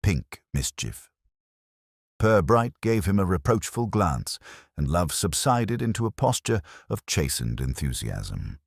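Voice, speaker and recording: Male narrator for genteel detective audiobook: very deep, measured, lively intonation, close dry sound. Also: creaky, no room sound.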